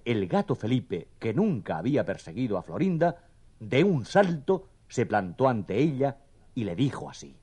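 A man's voice: medium pitch, sing-song, normal speed, warm tone, husky. A man narrating a scripted children's story as the storyteller.

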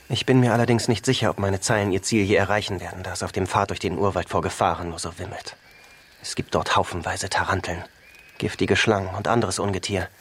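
An actor playing an earnest newspaper journalist on an expedition in a radio drama, with a husky voice. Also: male voice, deep voice, a little hiss or hum, lightly acted. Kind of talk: German radio drama acting, letter narration and dialogue.

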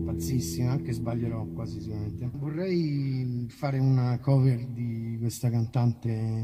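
An Italian man, a musician, chatting informally between songs in a halting, self-deprecating, rambling way. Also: medium pitch. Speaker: musician performing and introducing songs. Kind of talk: casual rambling stage talk between songs. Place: outdoor festival concert.

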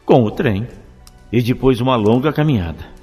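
A purposeful adventurer voiced by a man lays things out in earnest, measured phrases. The voice is mid-pitched, with long reverb and soft music behind it. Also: lively intonation, close to an everyday voice. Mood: determined. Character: purposeful male sci-fi adventurer explaining the plan. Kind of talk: audio drama dialogue.

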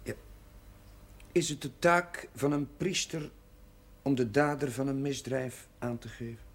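A village priest with a troubled conscience in a television drama. His husky voice sits at a medium pitch. He speaks in a subdued, hesitant, natural way. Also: unhurried.

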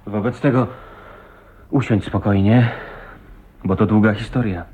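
An actor playing a tender, earnest husband in a radio drama, with a husky voice and an intimate, unhurried manner. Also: male voice, fairly low pitch, steady tone, mellow. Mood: tender reproach. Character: tender, earnest husband, a lonely millionaire's son. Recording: dull, muffled old radio recording.

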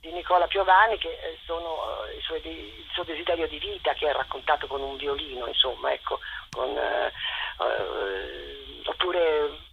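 Donna al telefono, suono ovattato. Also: female voice, deep voice, lively intonation, vocal fry. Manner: halting, searching for words. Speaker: theatre director, interview guest. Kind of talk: spontaneous interview answers. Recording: muffled phone line with faint noise.

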